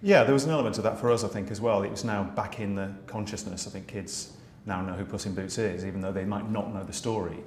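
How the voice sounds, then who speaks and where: A middle-aged British man who writes, directs and plays the dame in pantomime, talking in a relaxed interview with many restarts and fillers. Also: lowish voice, fast talker, few pauses. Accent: British English. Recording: echoey room with faint noise.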